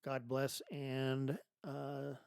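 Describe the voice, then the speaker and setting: A man hosting his own show, delivering an emphatic political monologue full of rhetorical questions and restarts, his voice going hoarse. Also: mid-range voice, monotone, very slow, darkish tone, dry recording, clean studio sound. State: losing his voice. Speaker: podcast host.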